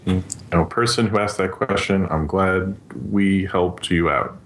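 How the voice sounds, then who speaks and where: A man's voice: sits low, steady tone, a little slow, a bit bright, faint music behind. A man talking informally as a panelist on a podcast. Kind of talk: casual podcast chat.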